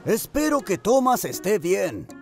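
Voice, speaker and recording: A man's voice: high-pitched, sing-song, unhurried, loud music behind the voice, heavily acted. A man voicing a dramatic, good-natured helicopter in a children's cartoon dub, theatrical, with a Latin American accent. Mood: concerned, grateful.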